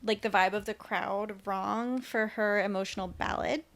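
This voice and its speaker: A woman co-hosting a podcast, recapping a TV episode in casual, animated, conversational speech. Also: medium pitch, moderate pace. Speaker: podcast co-host.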